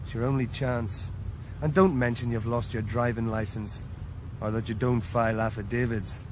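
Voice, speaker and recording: A man playing a brisk, exasperated lawyer. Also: medium pitch, fairly even intonation, slight background noise, natural voice. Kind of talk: 1980s radio drama acting.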